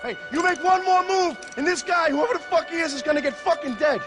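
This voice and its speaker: An actor playing a hot-headed, foul-mouthed street gangster making threats in a video game scene. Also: male voice, very high voice, a little fast. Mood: menacing, agitated. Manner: aggressive, agitated. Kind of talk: video game cutscene acting. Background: music.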